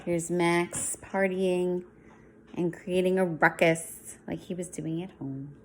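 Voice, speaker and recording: Slow-paced woman reading to young children. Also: fairly low pitch, a little hiss or hum. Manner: expressive, emphatic story reading with hushed explanatory asides. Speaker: schoolteacher reading to young pupils. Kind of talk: children's picture book read-aloud with asides.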